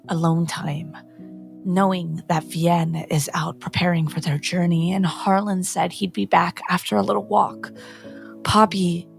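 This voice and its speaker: Low-pitched woman storyteller with some creak. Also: a little mellow. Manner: deliberate, descriptive storytelling. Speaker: tabletop role-playing game player. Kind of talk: third-person dramatic game narration.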